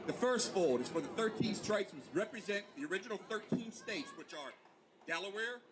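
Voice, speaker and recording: A man's voice: high voice, lively intonation, fairly quick. A man giving an educational talk to children, presenting in simple steps with questions and answers. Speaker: flag etiquette presenter. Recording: noisy outdoor event recording.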